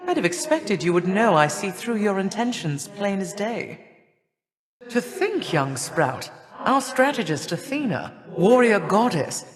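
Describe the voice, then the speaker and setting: A woman's voice: lowish voice, in a large hall. A woman playing a stern, imperious elder goddess in a video game, speaking formal, archaic lines with haughty, cold disdain. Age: older. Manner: haughty, formal, archaic diction with measured scorn. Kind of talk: theatrical video game character acting.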